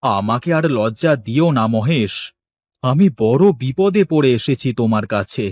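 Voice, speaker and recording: A man's voice: mid-range voice, animated, unhurried, darkish tone, no room sound. A man playing an anxious village fish wholesaler in a cartoon, exclamatory and given to repeating himself. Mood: desperate. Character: anxious village fish-wholesale boss, polite, easily panicked. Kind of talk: cartoon dialogue acting.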